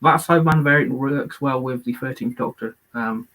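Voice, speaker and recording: A man hosting a live-stream and chatting casually about his collection. He rambles enthusiastically with many fillers and is losing his voice, so he sounds a bit hoarse. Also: mid-range voice, fairly even intonation, moderate pace, a bit bright, low-quality recording.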